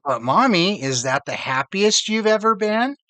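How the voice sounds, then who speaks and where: A man plays a curious little storybook boy, affectionate and eager. The questions lift upward with animated intonation, recorded dry. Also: high-pitched, moderate pace, no room sound, exaggerated voice.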